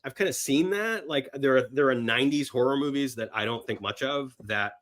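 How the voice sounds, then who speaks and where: A middle-aged man talking casually as a podcast guest, animated in his storytelling and repeating phrases for emphasis. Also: mid-range voice, brisk. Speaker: podcast guest, horror film screenwriter and actor. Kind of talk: casual film chat.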